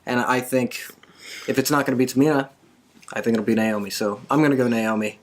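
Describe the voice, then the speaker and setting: A man's voice: medium pitch, fairly quick. Casual male podcast host. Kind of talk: casual wrestling discussion.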